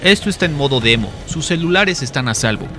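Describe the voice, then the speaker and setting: A man's voice: on the high side, animated, quick pace, pushed and bright. A man playing a smug, showy salesman presenting weapons to a crowd in a narrated comic story. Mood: smug, confident. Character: slick male arms-show salesman, showy, smug presenter. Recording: music behind the voice.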